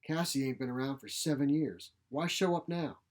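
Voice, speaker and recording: A man's voice: mid-range voice, lively intonation, normal speed, natural voice. Man voicing a feisty, blunt old mother. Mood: indignant. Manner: blunt, folksy, grumbling. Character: feisty, sharp-tongued elderly widowed mother, tired old biddy. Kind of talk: short story character reading.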